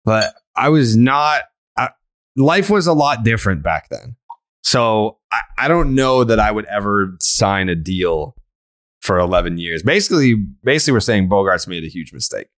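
Male podcast host, lively up-and-down delivery, jokey; dry close sound. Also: on the low side, moderate pace, dry recording. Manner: joking, laughs often. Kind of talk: casual podcast chat.

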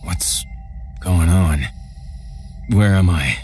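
A man's voice: low voice, drawn-out words, darkish tone, faint music behind, exaggerated voice. A man voicing a bewildered, frightened hospital patient with an anxious, pleading manner in a horror story. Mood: confused.

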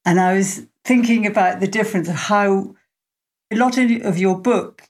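A woman's voice: medium pitch, moderate pace, a little mellow. A middle-aged woman hosting an interview, sharing reflective thoughts in long, linked sentences. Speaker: podcast host.

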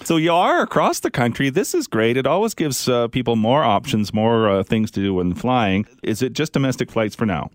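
A man's voice: medium pitch, sing-song, normal speed, few pauses, dry recording. A man hosting a travel podcast, introducing a guest and asking questions in an upbeat, conversational way. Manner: upbeat, conversational, with some fillers. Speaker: podcast host.

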